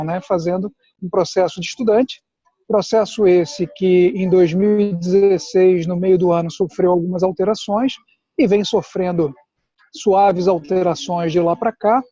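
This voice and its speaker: A man explains things in a steady, deliberate way as a guest adviser. His voice is high yet mellow in tone. The audio is dry and dull, with the muffled, lo-fi sound of a video call. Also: no echo. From Pernambuco, Brazil. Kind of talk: explanatory chat.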